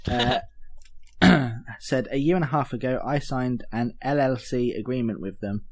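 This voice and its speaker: A man hosting a casual podcast discussion, with a slightly husky voice. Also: mid-range voice, a little mellow. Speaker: podcast host. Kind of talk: casual panel chat about games news.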